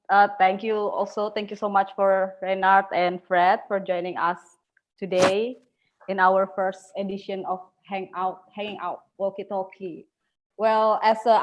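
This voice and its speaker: A woman with an Indonesian accent co-hosting a casual online talk, informal and hesitant, restarting her phrases. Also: medium pitch.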